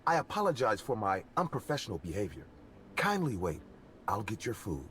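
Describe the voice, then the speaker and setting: A man voicing a nervous, polite young fast-food worker who stammers and speaks overly formally. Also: fairly low pitch, very animated, a bit bright, a little hiss or hum.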